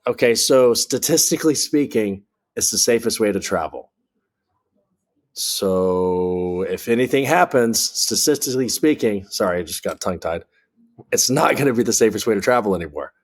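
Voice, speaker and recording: A man's voice: fairly low pitch, lively intonation, moderate pace. An American man speaking candidly as an interview guest, an air traffic controller explaining things bluntly and emphatically with examples and jargon.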